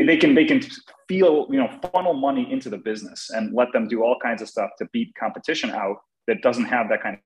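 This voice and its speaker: A man's voice: medium pitch, low-quality recording. A man co-hosting a casual business discussion, thoughtful and hesitant, with frequent fillers.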